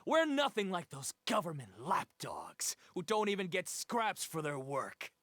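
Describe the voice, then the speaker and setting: Casting: boastful game-villain mercenary, higher-set male voice, very animated, dry close sound. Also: measured pace, no echo, exaggerated voice. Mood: scornful boasting. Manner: boastful, menacing taunts. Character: cocky, threatening male mercenary leader of an elite brigade. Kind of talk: English video game dub.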